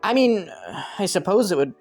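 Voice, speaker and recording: A woman's voice: mid-range voice, lively intonation, moderate pace, darkish tone, soft background music, lightly acted. A woman playing a young scheming prince in a romantic audio roleplay, courteous and formal, with flustered stammers.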